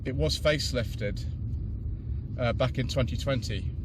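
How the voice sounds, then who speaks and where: A man's voice: mid-range voice, measured pace. A man hosting a casual car review while driving, chatty and self-deprecating, explaining specs with plenty of fillers, recorded on a camera mounted inside the car. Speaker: car reviewer, channel host. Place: inside a car on a test track. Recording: loud car and road noise. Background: engine and road noise.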